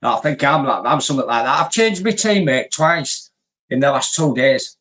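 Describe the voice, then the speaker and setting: A man's voice: mid-range voice, animated, normal speed, fairly bright tone. A man, bantering in a blunt, sweary, laddish way as co-host. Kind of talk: casual banter.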